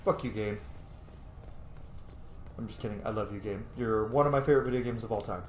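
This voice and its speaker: A man's voice: lowish voice, fairly quick. A man giving casual, playful video game commentary, swearing now and then and rambling off into asides. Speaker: let's play streamer. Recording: roomy echo, faint background noise.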